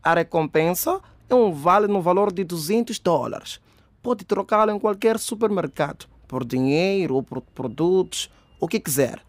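A man's voice: high voice, lively intonation, normal speed, no room sound. An actor playing a male scam caller using a persuasive marketing voice. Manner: persuasive sales patter.

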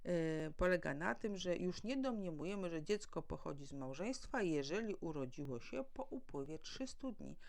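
A woman's voice: on the low side, animated, moderate pace, gravelly, hardly any pauses, a little mellow. A woman legal adviser giving a formal, didactic explanatory talk to listeners. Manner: formal, didactic, asks and answers own questions. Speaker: legal adviser and mediator presenting.

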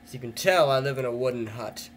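A man's voice: mid-range voice, quick pace, a little hiss or hum. Joking teenage boy on a webcam. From Canada. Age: teens. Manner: rambling, self-mocking, jokey. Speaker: vlogger. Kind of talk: casual joking webcam vlog. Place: small wood-paneled room, indoors. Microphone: webcam at desk.

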